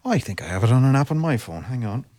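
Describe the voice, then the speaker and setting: Male co-host, fast chatty asides, dry studio mic. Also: mid-range voice, big pitch swings, mellow, no room sound. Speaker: radio co-host. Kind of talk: casual radio banter. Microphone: studio microphone.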